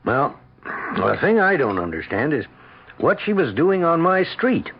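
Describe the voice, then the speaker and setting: A man's voice: on the high side, sing-song, unhurried. An actor playing a puzzled male dinner guest and friend in an old-time radio drama. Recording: dull, faintly noisy old radio recording.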